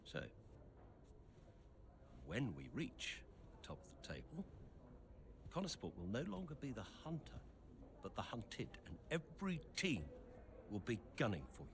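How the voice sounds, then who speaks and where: A man playing a stern, driven middle-aged team owner and father in a game cutscene, measured and commanding. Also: medium pitch, huge pitch swings. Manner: measured, commanding, pausing for weight. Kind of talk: cinematic game cutscene acting. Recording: noticeable background ambient noise.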